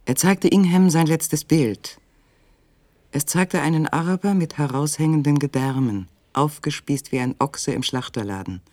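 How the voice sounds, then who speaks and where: Actor as sober, close-miked narrator. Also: male voice, a little high, a little mellow, dry recording, close to an everyday voice. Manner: measured, matter-of-fact. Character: sober, detached literary storyteller of a suspense tale. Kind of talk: scripted radio play narration.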